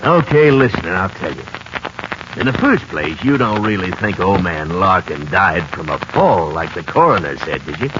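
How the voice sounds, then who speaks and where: An actor playing a smug crooked land-grabber in a 1950s radio western, with folksy, slangy tough talk. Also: male voice, low voice, big pitch swings, normal speed. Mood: gloating. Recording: muffled, noisy, crackly 1950s radio recording.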